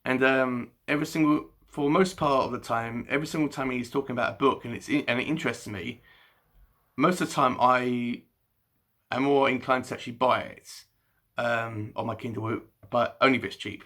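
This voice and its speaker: A man vlogging from home in the evening with a gravelly, creaky edge to his voice. His tone is bright and forward. He speaks haltingly, full of ums, restarts and a slight stutter. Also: medium pitch, fairly even intonation. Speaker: book vlogger. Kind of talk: casual unscripted chat to camera.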